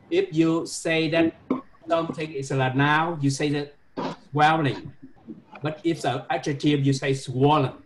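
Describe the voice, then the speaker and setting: A man with a Vietnamese accent and a slightly high voice teaches English pronunciation to a class. He speaks haltingly, repeats himself and tags phrases with "huh?". There is faint noise in the recording. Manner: halting, repetitive, ends phrases with a questioning "huh?".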